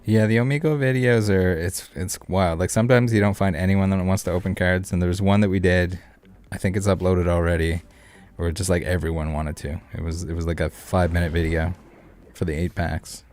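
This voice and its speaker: A man's voice: low voice, fairly even intonation, a little fast, few pauses, darkish tone. A man hosting a card game live stream, chatting casually with viewers in a rambling, joking, laid-back way. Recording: music playing behind the voice. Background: background music.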